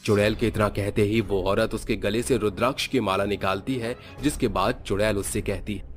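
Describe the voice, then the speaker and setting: एक आदमी कार्टून भूत-कहानी का लिखा हुआ वर्णन सुना रहा है।. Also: male voice, fairly low pitch, fairly even intonation, fairly quick, slight background noise. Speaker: story narrator. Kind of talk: scripted Hindi cartoon horror story narration. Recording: faint music underneath.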